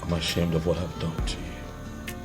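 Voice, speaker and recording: A man's voice: low voice, completely flat delivery, normal speed, over music, natural voice. A man playing a remorseful king begging forgiveness. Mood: pleading. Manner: soft, pleading. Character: remorseful young king pleading with his wife.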